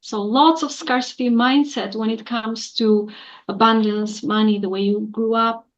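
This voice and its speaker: A woman's voice: fairly high pitch, fairly even intonation, measured pace, dull audio. A woman, an accountant answering earnestly in an interview with many fillers. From Albania. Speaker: guest, accountant.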